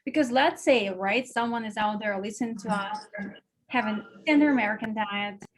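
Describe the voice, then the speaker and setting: A woman's voice: medium pitch, moderate pace. A woman hosting an interview, eager and speaking English with non-native phrasing. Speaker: podcast host. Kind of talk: casual interview.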